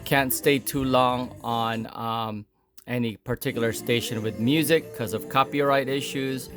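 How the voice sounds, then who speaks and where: Slow, halting man giving a casual demo. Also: mid-range voice, steady tone, music in the background. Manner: halting, pausing between short phrases. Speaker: product demonstrator. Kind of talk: casual hands-on gadget demo, unscripted.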